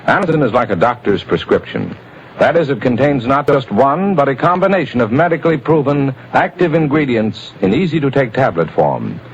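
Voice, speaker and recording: A man's voice: medium pitch, very animated, fairly quick, breathy edge. Formal old-time radio announcer man. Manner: formal, declamatory. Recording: dull lo-fi old radio recording.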